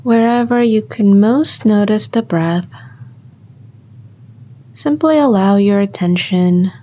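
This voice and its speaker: Woman, meditation guide, very slow pace, soft dark timbre, calm, gentle instructions, long pauses. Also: medium pitch. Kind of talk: guided body scan meditation.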